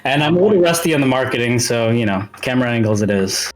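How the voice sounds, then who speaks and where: Man, lower-middle everyday voice, casual chat, slangy, joking, music behind. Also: expressive, fast, rough recording.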